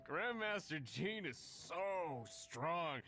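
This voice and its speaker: A man's voice: high voice, sing-song, very slow, bright, forceful tone, heavily acted. An actor playing a carefree, tipsy townsman in a fantasy video game, chummy and drawling. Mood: admiring, carefree. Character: carefree tipsy man idling about a fantasy city. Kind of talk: video game character acting.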